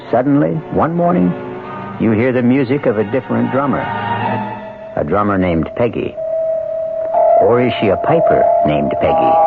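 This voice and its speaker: A male host narrates a radio mystery in a wry, portentous way. His gravelly voice rises and falls dramatically over loud music, on a tinny 1970s recording. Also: medium pitch.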